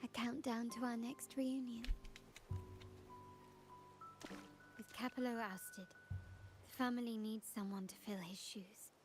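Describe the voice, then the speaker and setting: A woman playing a poised, teasing young crime-family heiress in a video game, speaking in a measured, elegant way. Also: a little high, steady tone, over music, lightly acted. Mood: tender, composed. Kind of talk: scripted game dialogue.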